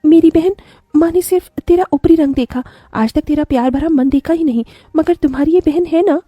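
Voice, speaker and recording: A woman's voice: high-pitched, fairly quick, mellow, no room sound, soft background music, lightly acted. A woman voicing a kind, earnest young bride who is loyal and protective, in a cartoon story. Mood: tender. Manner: earnest, pleading.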